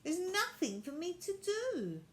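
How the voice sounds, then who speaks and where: A woman's voice: very high-pitched, wildly swooping pitch, measured pace, a little hiss or hum, pitched above the performer's own voice, heavily acted. A woman voicing a pert, easily bored little rag doll girl in a storybook, with plaintive, exclaiming delivery. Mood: bored, whiny.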